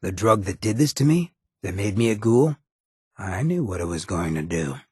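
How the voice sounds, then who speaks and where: An actor playing a swaggering, sardonic but loyal male ghoul rebel in a video game, with a very raspy, gravelly American voice and a streetwise, folksy drawl. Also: fairly low pitch, animated, moderate pace, no room sound, studio quality. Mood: regretful. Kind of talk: video game companion voice acting.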